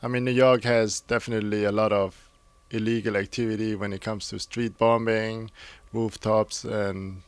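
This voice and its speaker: A man, a graffiti artist, explaining things in a relaxed, matter-of-fact way. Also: lowish voice, moderate pace, clear, resonant tone, no echo. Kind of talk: casual interview answers.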